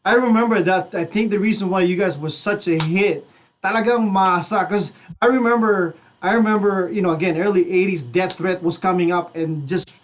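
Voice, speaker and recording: A man's voice: high voice, moderate pace, slight background noise. A middle-aged man hosting a casual radio interview, speaking in a slangy, code-switching way. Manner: slangy, mixes Tagalog into English. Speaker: radio host.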